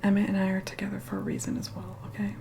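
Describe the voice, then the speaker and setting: A woman's voice: mid-range voice, completely flat delivery, racing, creaky. A woman playing a haughty, impatient immortal vampire in a whispered ASMR role-play, curt, condescending and bossy as she reluctantly helps. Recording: close whispered voice under loud music. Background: music.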